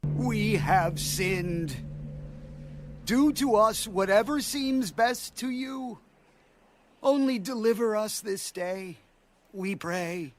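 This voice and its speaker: A man's voice: high-pitched, lively intonation, slow, pauses often. A man playing a repentant, beseeching Israelite confessing sins in a dramatized audio Bible. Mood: pleading, repentant. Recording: music under the voice.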